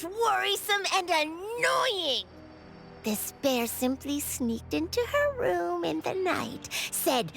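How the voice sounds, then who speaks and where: Squeaky, mischievous little teddy bear. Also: female voice, gravelly, full character voice. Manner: theatrical, taunting, speaks of itself in third person. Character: small mischievous shapeshifting teddy bear, gleefully malicious, childlike. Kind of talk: anime-style video game voice acting.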